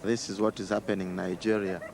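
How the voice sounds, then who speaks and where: A man slowly pointing things out and saying his group has no politics. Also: low-pitched, level tone, creaky, crowd noise.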